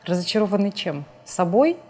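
A woman interviewer with a mid-pitched, highly animated voice. She talks conversationally in a studio, with other voices audible around hers. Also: brisk, crowd noise. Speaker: interviewer, host. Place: TV studio, indoors.

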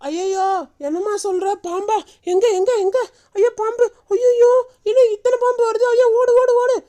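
A woman voicing a folksy, fussing elderly village grandmother in an animated story. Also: sits high, moderate pace, lightly acted. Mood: panicked. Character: concerned elderly village grandmother, easily alarmed.

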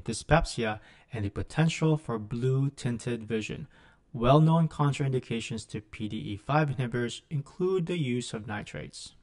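Male, middle-aged, mid-pitched voice for scripted clinical teaching; measured, explanatory delivery. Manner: measured, instructional. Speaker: physician lecturer. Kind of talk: scripted medical lecture narration.

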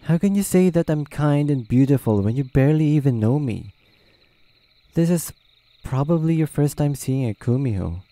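A man voicing a proud, wary, easily flustered male nine-tailed fox spirit in a halting roleplay audio drama. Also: fairly high pitch, animated, mellow, no echo. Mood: doubtful. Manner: hesitant, halting, muttering to himself.

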